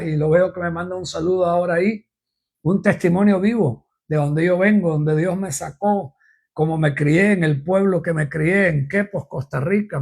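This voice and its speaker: Older man, husky voice, informal preaching, rambling testimony, repeated refrains. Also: high voice, a little mellow. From Cuba. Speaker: apostle preaching on a live program. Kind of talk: informal live-stream preaching.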